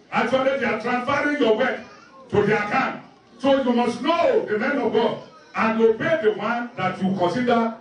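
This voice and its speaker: A man preaching a sermon as a pastor, emphatic and repetitive, exhorting his listeners. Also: extremely high pitch. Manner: emphatic, repetitive, numbered exhortations with scripture quotes. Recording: very echoey room, distant microphone.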